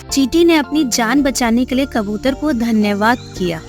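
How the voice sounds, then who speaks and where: Female storyteller for kids' moral tales: high voice, scripted narration, clear music bed. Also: normal speed, a little mellow.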